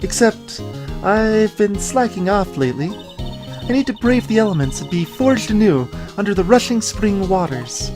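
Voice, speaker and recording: A woman voicing a naive, eager teenage girl spirit medium in a video game, earnest and excitable. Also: mid-range voice, normal speed, over music, lightly acted.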